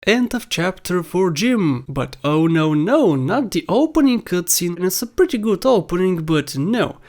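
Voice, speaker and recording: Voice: mid-range voice, very animated, unhurried, darkish tone. A narrator with a mid-range voice presents a scripted countdown. The delivery is informal and opinionated, with wry asides and rhetorical questions put to the listener.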